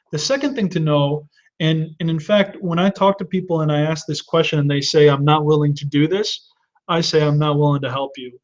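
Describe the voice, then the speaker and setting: A man in his thirties hosts a live webinar and answers viewer questions one by one. His voice sits a touch high, and he gives earnest advice, often restarting mid-sentence. The audio is dull and lo-fi. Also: darkish tone. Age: 30s. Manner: earnest advice-giving with frequent restarts and fillers.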